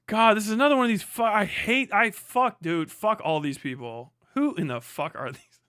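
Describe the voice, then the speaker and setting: American guy streamer reacting to an article, pretty high voice, super dry close sound, swears a lot. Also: moderate pace, a bit bright, clear, resonant tone, no echo. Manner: sweary, incredulous, breaking off into asides. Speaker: livestream host. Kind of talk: casual livestream reaction, reading an article aloud with commentary.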